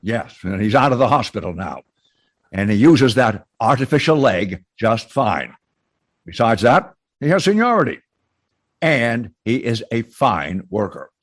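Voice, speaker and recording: An actor playing a well-mannered, genial company boss in a radio comedy, hesitant and sputtering but firm. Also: male voice, mid-range voice, sing-song, unhurried, no room sound, clean studio sound. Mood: earnest. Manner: hesitant, sputtering, formal politeness. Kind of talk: old-time radio comedy acting.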